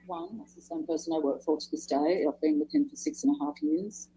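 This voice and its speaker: A woman's voice: deep voice, big pitch swings, brisk, mellow, breathy edge, rough recording. An Australian woman testifying in court, curt and exasperated as she lists her duties. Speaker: trial witness.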